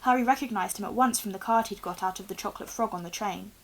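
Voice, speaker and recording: A woman's voice: medium pitch, fast. Young woman reading a story aloud. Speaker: reader, teacher. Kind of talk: expressive storybook narration read aloud. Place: small room indoors with bookshelves.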